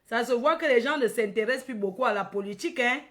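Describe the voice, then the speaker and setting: A woman's voice: fairly high pitch, fast, fairly bright tone. A woman from Cameroon with a Cameroonian accent hosting a casual livestream, addressing followers by name, repeating phrases and tagging on questions. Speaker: livestream host.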